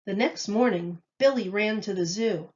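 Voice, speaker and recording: A woman reading a children's story aloud with expressive, measured storytelling. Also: mid-range voice, muffled recording. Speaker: storybook reader.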